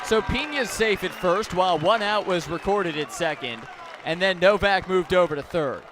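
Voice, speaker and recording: A man calling baseball play-by-play live on the radio, phrasing deliberately and swelling on the big plays. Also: high voice, expressive. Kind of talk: live radio sports commentary. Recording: noisy outdoor ballpark with crowd. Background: ballpark crowd noise.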